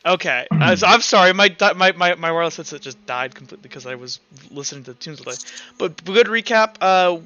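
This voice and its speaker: A man with a bright, forceful voice pitched a little high runs a tabletop game over voice chat. He talks casually as game master, tripping over and repeating words. The audio is slightly dull and lo-fi. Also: fast talker. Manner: stammers, repeating words as he goes. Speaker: game master running an online tabletop roleplaying session. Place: online voice call.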